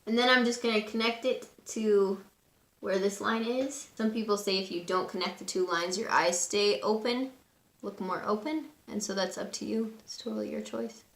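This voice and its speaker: A woman with a raspy voice today, casually talking through a makeup tutorial at home. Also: mid-range voice, moderate pace, reverberant. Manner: chatty, relaxed and instructive, with frequent fillers. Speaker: beauty vlogger. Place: room at home.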